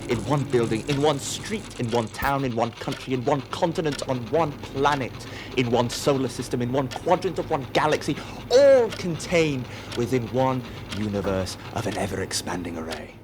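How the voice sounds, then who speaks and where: A man's voice: mid-range voice, expressive, moderate pace, hardly any pauses, background noise. A man giving scripted audio drama narration in a wry, theatrical manner.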